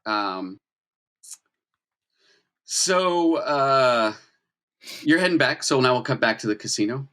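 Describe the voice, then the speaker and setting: A man's voice: medium pitch, expressive, slow pace, long pauses, fairly bright tone. A man narrating and refereeing a tabletop roleplaying game as game master in a conversational, explanatory way.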